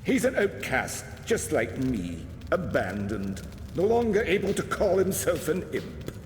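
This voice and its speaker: A man's voice: a little high, wildly swooping pitch, a little slow, slight background noise. A man playing an eccentric, kindly old alchemist in a video game, lilting and theatrical. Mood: chatty, wary. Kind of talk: video game character acting. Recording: echoey reverberant sound with music behind.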